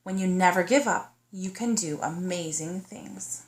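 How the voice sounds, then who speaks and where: A woman narrating a children's storybook as a teacher would, in a child-directed manner that invites the young listener in. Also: lowish voice. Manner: child-directed, pausing to ask the listener questions. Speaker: teacher reading aloud to kindergartners. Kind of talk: storybook read-aloud narration.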